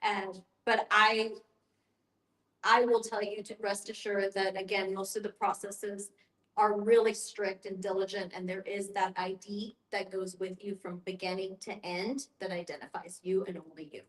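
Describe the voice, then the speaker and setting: Female discussion facilitator, medium pitch, reassuring explainer, recorded in a reverberant room. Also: flat delivery, normal speed, a bit bright. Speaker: guest facilitator and end-of-life educator. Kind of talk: informal group discussion. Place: echoey room with a group. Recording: echoey room.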